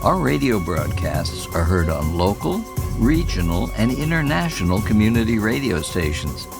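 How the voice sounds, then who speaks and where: A man's voice: lowish voice, sing-song, normal speed, creaky, over music. A man reading a scripted closing announcement as a radio announcer.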